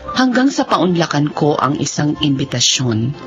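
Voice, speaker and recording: A woman's voice: sits low, sing-song, moderate pace, muffled recording, music in the background. An actress with a Filipino accent playing a devoted, sentimental wife and mother in a tender, emotional radio drama style. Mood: wistful.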